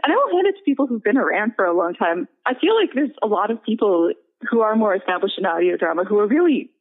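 A woman's voice: medium pitch, very animated, quick pace. A woman chatting casually as an interview guest, self-deprecating and giggly, heard over a remote call line. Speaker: guest, audio drama writer and producer. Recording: tinny narrow phone-like line.

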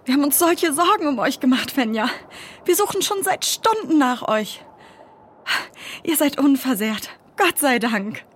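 A woman playing a caring, hospitable mother in a children's audio drama, with an animated delivery. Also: high voice, measured pace, slight background noise. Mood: worried, relieved.

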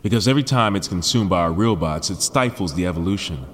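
Reclusive tech mogul, low voice, awkward, proud, prickly, audio drama, faint background ambience. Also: male voice, expressive, fast, a little hiss or hum. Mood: proudly explaining. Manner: awkward, conversational, explanatory. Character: socially awkward male billionaire inventor, proud and prickly. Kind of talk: naturalistic sci-fi audio drama acting.

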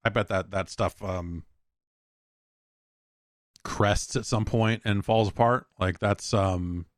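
A man's voice: fairly low pitch, steady tone, slow pace, dry recording, studio quality. A man hosting a podcast solo, chatting casually in a rambling, opinionated, profane way. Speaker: podcast host answering listener mail.

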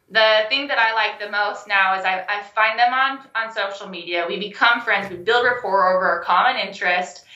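A young adult woman, a health coach and guest, telling her story in a casual interview, animated and laughing often. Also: mid-range voice, reverberant, highs cut off. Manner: animated, laughs often, acts out quoted phone calls.

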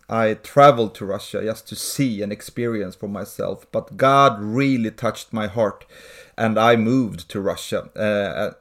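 A man, a missionary guest telling his story in an earnest, steady way. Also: lowish voice. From Sweden. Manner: earnest, steady, with small restarts. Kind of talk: interview storytelling.

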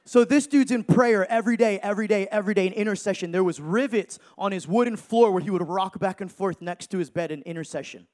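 A man in his thirties preaching a sermon with energy, joking with the crowd and shouting for emphasis. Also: sits high, fast talker, few pauses. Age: 30s.

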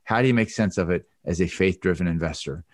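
A man hosting an interview podcast, introducing guests and asking brisk, friendly questions. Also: sits low, dry recording, studio quality. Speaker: podcast host.

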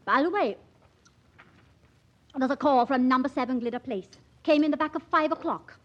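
A woman's voice: sits high, normal speed, natural voice. An actress playing a chatty, motherly, middle-aged doctor's wife in a vintage radio play, bustling and effusive. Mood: chatty, reassuring. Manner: bustling, effusive, folksy speech. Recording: dull old radio broadcast recording.

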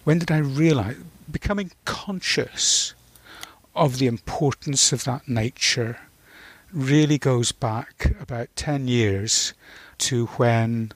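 A man in his sixties from Northern Ireland answers as an interview guest. His voice sits at an average pitch and is recorded very dry. He speaks reflectively, often stopping to find the right word. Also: dry recording.

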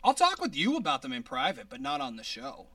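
A man with a high-pitched voice hosting a casual podcast, rambling with many restarts and laughs. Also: huge pitch swings, fairly quick, recorded from a distance, heavy background noise. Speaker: podcast host.

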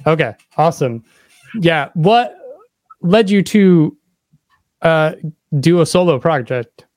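A man with a slightly high voice hosts a casual podcast interview. He asks his questions conversationally, and the sound is close and dry. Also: lively intonation, slow pace, darkish tone, dry recording.

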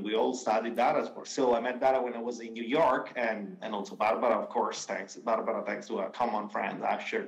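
Man, Italian accent, gravelly, hesitant, keeps saying you know, echoey room. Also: on the low side. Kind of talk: formal remarks at an event. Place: event room. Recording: roomy echo.

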